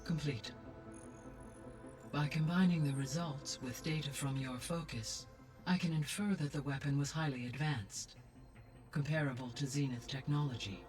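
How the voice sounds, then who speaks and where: A woman acting a wise female artificial intelligence, composed, deliberate and precise, in a video game cutscene. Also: low voice, lightly acted. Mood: composed, analytical. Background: faint music behind the voice.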